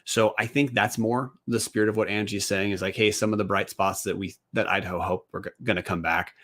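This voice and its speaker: A man's voice: lowish voice, steady tone, brisk, fairly bright tone. A man co-hosting a casual sports podcast, teasing his co-hosts and rambling as he talks. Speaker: podcast co-host.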